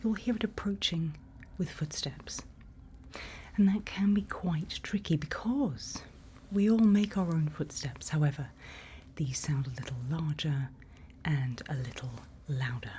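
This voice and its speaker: A woman playing a calm, reassuring monster-hunting guide in a whispered ASMR roleplay, speaking in a hushed, patient, breathy way. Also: sits low, measured pace, a little hiss or hum. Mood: calm, instructive. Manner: hushed, patient, instructive, soothing.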